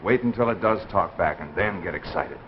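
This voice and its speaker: Glib, skeptical boyfriend: lowish voice, lively inflection, casual. 1950s radio play. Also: male voice, a little fast. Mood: breezy, skeptical. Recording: dull 1950s broadcast, faint noise.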